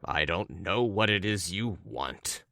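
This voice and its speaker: A low, unhurried young man's voice from a storybook, put on by a male reader. He is curt and impatient, irritated under a thin layer of grudging politeness. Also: expressive, creaky, bright, forceful tone, no echo, clean studio sound, full character voice. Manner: terse, brusque, grudgingly polite.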